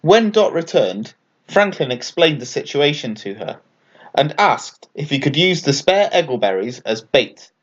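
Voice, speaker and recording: A man reading a children's bedtime story aloud as an expressive scripted narration. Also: a little high, unhurried, highs cut off. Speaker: author and story reader.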